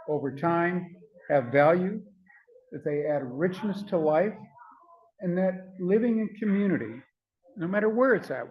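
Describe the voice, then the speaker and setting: An older man with a soft voice, giving an earnest, measured conference talk with frequent ums and uhs. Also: high-pitched, lo-fi sound, slight background noise. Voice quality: soft-spoken. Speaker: conference speaker. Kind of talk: prepared talk at a symposium.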